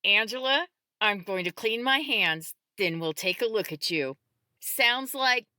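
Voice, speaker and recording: Woman as a take-charge female medic, brisk, calm, practical, bright forceful tone, audiobook dialogue. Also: medium pitch, no room sound, studio quality, voice pushed up. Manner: brisk, directive, reassuring.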